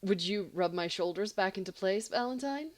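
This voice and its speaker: Lady reading a gushy teen boy in a storybook, simpering and gallant, pitch moves around a lot. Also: lively intonation, moderate pace. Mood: gallant, strained. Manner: simpering, dramatic. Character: teenage boy being syrupy and gallant with his sweetheart. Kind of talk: audiobook character voice.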